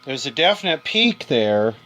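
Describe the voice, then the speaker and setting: A man's voice: mid-range voice, big pitch swings, measured pace. A man casually explaining a hands-on radio repair step by step, like a technician showing someone the job. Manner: explains step by step, pointing things out. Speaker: radio repairer demonstrating alignment. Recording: background noise, live workbench recording. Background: noise of a radio being worked on.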